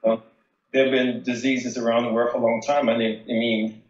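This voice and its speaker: An American man giving a casual phone interview as a local resident, halting as he searches for words. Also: medium pitch, flat delivery. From United States. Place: remote phone call. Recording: dull, echoey phone call.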